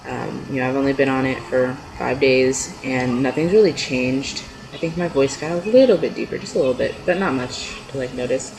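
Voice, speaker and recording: A man's voice: a little fast, gravelly, few pauses. A young man chats casually and unscripted in a rambling, hesitant way, like a personal video blog. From California, United States. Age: young adult. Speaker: video blogger. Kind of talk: casual unscripted chat to camera. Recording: noisy low-quality amateur webcam-style audio.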